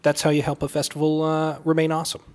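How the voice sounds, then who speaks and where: A man's voice: a little high, completely flat delivery, fast talker, darkish tone. A man co-hosting a podcast with dry, teasing, casual banter. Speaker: podcast co-host.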